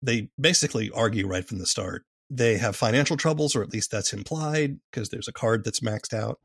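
A man's voice: mid-range voice, normal speed, fairly bright tone, husky, no echo, studio quality. A man hosting a conversational interview, asking questions and commenting as the interviewer. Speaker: interviewer, host.

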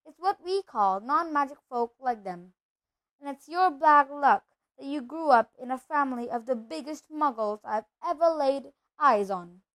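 A woman voicing a gruff but kind-hearted giant man with folksy, emphatic speech in a storybook reading. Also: high-pitched, animated, measured pace, fairly bright tone. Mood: scornful.

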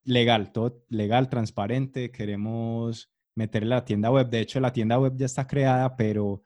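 A man's voice: medium pitch, steady tone, moderate pace, a little mellow. A man, a podcast co-host on a video call, earnestly and steadily explaining plans. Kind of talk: casual chat over a video call.

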